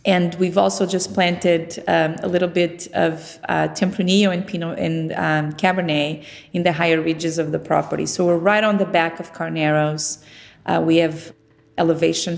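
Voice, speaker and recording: A woman's voice: deep voice, vocal fry. A middle-aged woman from Portugal, talking conversationally about her work in an interview. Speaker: winemaker being interviewed.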